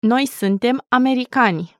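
A woman teaching a language, saying each word slowly and clearly twice in a scripted lesson. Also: a little high, no echo, studio quality. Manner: slow careful pronunciation with repeats. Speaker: native-speaker language teacher.

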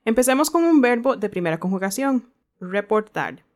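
A woman's voice: a little high, very animated, normal speed, a little mellow. A woman hosting a language lesson, moving between presenting and casual chat.

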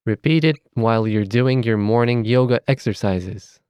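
A man with a Romanian accent teaching a recorded language lesson, saying each letter slowly and clearly. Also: mid-range voice, darkish tone, no echo. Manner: measured, careful enunciation of letters.

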